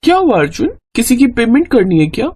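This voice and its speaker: A woman's voice: a little high, big pitch swings, fast talker, noisy background, voice pushed up. A woman voicing a haughty young wife who scolds and complains in a moral-story cartoon. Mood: suspicious, threatening. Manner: sharp, condescending, complaining.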